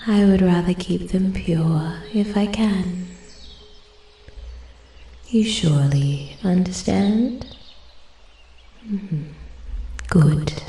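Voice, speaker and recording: A woman voicing an ancient female forest spirit in soft-spoken ASMR roleplay, breathy and often whispering, formal, intrigued and quietly menacing. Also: on the low side. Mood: calm, imperious. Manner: slow, deliberate, archly formal. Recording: echoey, with steady ambient forest noise. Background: forest ambience, birds and animal sounds.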